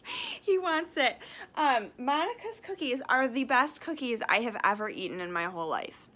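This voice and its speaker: A woman speaking casually and reading a picture book aloud to children in an expressive, friendly way. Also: sits high, moderate pace. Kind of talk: casual chat and children's storybook read-aloud.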